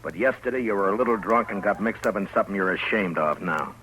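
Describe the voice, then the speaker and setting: An American actor playing a steady, dogged Old West marshal, laconic and firm, in 1950s radio drama style. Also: male voice, lowish voice, fast. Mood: firm, pressing. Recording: noisy old radio recording.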